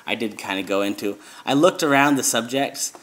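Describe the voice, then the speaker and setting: A man's voice: medium pitch, brisk, a bit bright. An American man who grew up abroad, talking casually in a rambling way with many fillers and restarts, as host of his own video. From United States. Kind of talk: casual vlog talk.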